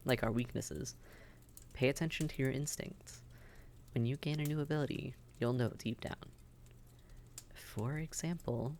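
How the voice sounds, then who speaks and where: Guy voicing a friendly vampire innkeeper, creaky gravelly voice, chatty n reassuring advice, audio roleplay, bit of background noise. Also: mid-range voice, lightly acted. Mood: encouraging, then earnest, cautioning. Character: friendly, worldly old-hand vampire innkeeper, reassuring male. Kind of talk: roleplay audio drama, speaking directly to listener.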